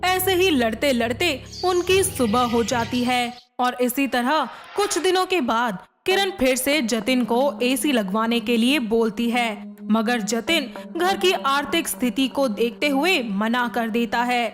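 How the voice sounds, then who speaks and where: A woman's voice: fairly high pitch, lively intonation, slow pace, hardly any pauses. A woman narrating a moral tale as scripted storytelling.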